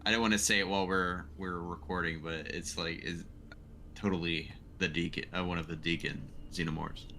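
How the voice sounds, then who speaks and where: A man's voice: low voice, steady tone, fairly quick, bright, forceful tone, slight background noise. A man playing a tabletop game, chatting casually in slangy, informal speech. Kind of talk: casual chat.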